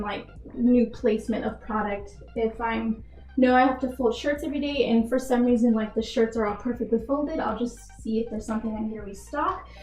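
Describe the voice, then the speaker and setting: Mellow, higher-pitched young woman in a roomy space. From Texas, United States. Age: young adult. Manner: earnest, filler-heavy with frequent "like". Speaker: job applicant being interviewed, retail worker. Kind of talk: phone interview answers.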